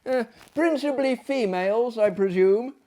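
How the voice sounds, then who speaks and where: A man voicing a polite, nervously curious gentleman visitor in a storybook tale, halting and tentative in his speech. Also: extremely high pitch, voice pushed up. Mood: politely curious.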